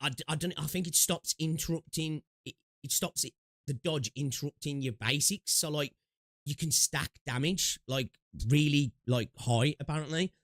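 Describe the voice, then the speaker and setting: A man's voice: fairly high pitch, normal speed, a bit bright, no echo, studio quality. A man streaming games, chatting loosely with restarts, fillers and swearing, sniffling from allergies. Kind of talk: casual gaming stream chat.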